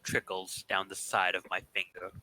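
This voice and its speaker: A man's voice: low voice, monotone, moderate pace, sharp tone, dry recording, faint music behind, low-quality recording. A man narrating game text aloud in an impromptu reading, playful with asides.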